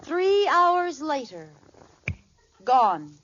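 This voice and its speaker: An actress playing a scheming, melodramatic housewife in a 1940s radio sitcom, theatrical and plaintive, on an old recording. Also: female voice, high-pitched, sing-song, extremely slow, fairly bright tone, exaggerated voice. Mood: grave, confiding. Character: scheming, melodramatic housewife feigning a dramatic illness. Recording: muffled, very noisy old radio recording, audience.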